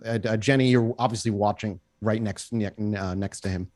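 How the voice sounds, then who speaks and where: A man's voice: lowish voice, steady tone, brisk, a little mellow, no room sound, clean studio sound. A man running a tabletop game as game master, narrating in an improvised, conversational way.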